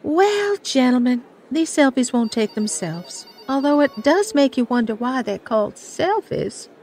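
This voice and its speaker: A woman playing a very animated, vain queen. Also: high-pitched, a little slow, soft background music, full character voice. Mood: breezy, vain. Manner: regal, gushing, self-satisfied. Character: gracious, self-adoring former villain queen turned influencer. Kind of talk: audio comedy acting.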